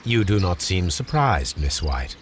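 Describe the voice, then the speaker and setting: A Victorian English gentleman detective with a low, very animated voice. He is precise, formal and supremely self-assured, probing as he speaks in the manner of a video game cutscene. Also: unhurried, a little hiss or hum. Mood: calm, probing.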